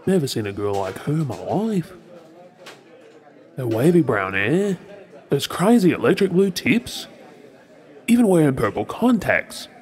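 A man's voice: a little high, sing-song, a little mellow. Man acting a swooping, tipsy Australian flirt. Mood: smitten. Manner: teasing, drawling, halting with hesitations. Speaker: audio roleplay performer. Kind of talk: ASMR audio roleplay, flirty monologue to the listener. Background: faint bar crowd chatter.

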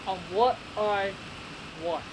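An excitable young man talks straight into a webcam in a small bedroom, his voice pitched high and his delivery slow and drawn out. He keeps repeating himself and stumbling over restarts. A steady hiss of noise sits under the home recording. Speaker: wrestling reviewer. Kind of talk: casual webcam review. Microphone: webcam at desk.

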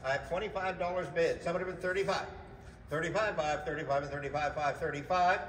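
High-voiced male auctioneer in an echoey hallway. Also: fast, fairly bright tone, a little hiss or hum. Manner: rhythmic repetitive chanting of numbers. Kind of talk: live auction bid calling. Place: indoor metal-walled storage facility corridor. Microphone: camera several metres away. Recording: echoey storage hallway, distant microphone.

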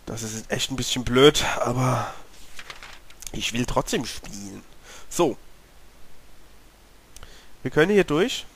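A German-speaking man with a cold, slightly hoarse, giving chatty commentary while playing a horror game and talking to his character. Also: mid-range voice, big pitch swings, takes it slowly, long pauses, a bit bright. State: has a cold, clearing his throat. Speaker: let's play gamer. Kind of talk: casual gameplay commentary.

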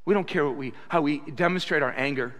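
A man in his sixties preaching a sermon at a pulpit, animated, with bursts of loud acted quotes, speaking into a stand mic in a church sanctuary. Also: a little high, fast talker. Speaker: pastor. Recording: reverberant church hall.

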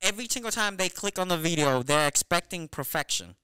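A man's voice: sits high, lively intonation, a little fast, bright, forceful tone, clean tone, poor audio. A young man co-hosting a podcast in casual banter, slangy and sweary, cutting in on a close microphone. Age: young adult. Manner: slangy, profane, interjecting. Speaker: podcast co-host. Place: small indoor podcast room. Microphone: close podcast microphone on boom arm.

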